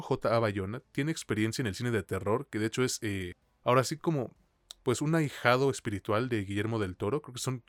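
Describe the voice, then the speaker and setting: Low-voiced Mexican man, dry close sound. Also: dry recording. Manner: informal, peppers talk with slang. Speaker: podcast co-host. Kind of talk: casual film review chat.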